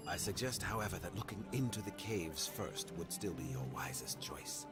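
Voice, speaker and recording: An actor playing a grave, formal man who gives out quests in a fantasy game, with measured, old-fashioned phrasing. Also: deep voice, over loud music, lightly acted. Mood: measured, advising. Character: grave, formal man directing a hunt for cultists. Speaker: quest-giving game character. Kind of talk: scripted fantasy game dialogue.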